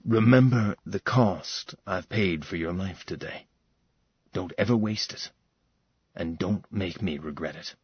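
A solemn, world-weary dragon-shifter from a fantasy storybook, voiced by a man reading aloud. He speaks low and measured, his words sinking toward a hush. The husky voice sits in a dry, slightly dull recording. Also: no room sound, exaggerated voice. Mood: grave.

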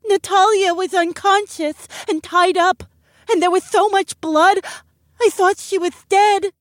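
A terrified young fox girl from a storybook, her voice pitched very high and trembling with tears and breathlessness. She pleads in a dry, close recording, with a man acting her out. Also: fairly even intonation, measured pace, a bit bright, dry recording. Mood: tearful.

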